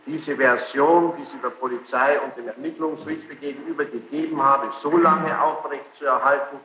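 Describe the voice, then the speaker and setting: Bright-voiced male judge on echoey courtroom tape. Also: mid-range voice, fairly even intonation, moderate pace. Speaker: presiding judge. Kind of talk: courtroom questioning and procedural rulings. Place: courtroom, taped from the room. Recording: echoey, muffled, noisy archival courtroom tape.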